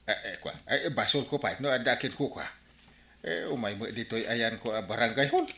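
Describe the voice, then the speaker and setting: An actor playing a bumbling, excitable village captain in an Ilocano comedy radio drama, stammering and exclaiming with an Ilocano accent. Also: male voice, medium pitch, brisk, roomy sound. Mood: blustering.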